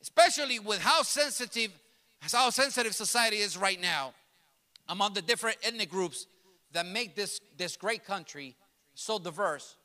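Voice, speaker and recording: Very high-voiced, bright preaching man. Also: expressive, moderate pace. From grew up in New York City. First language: Spanish. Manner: emphatic, repeats and restarts phrases, builds to loud passionate peaks. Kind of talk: sermon. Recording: long reverb of a large hall.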